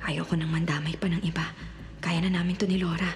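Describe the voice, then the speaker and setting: A woman's voice: on the low side, little pitch movement, quick pace, natural voice. An actress playing a streetwise female con artist, earnest and wry, in a Filipino radio drama. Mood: hushed, worried.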